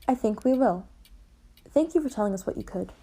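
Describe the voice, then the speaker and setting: A woman's voice: on the high side, expressive, a little fast, music in the background. A woman playing a level-headed, matter-of-fact investigator who keeps firm order in a puppet-show murder mystery. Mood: measured.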